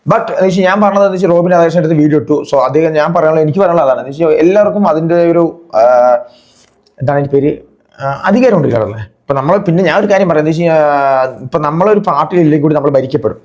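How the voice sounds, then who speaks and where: A man's voice: on the high side, racing, darkish tone, highs cut off. A man giving chatty, casual reaction commentary as a video host, often checking that the listener follows.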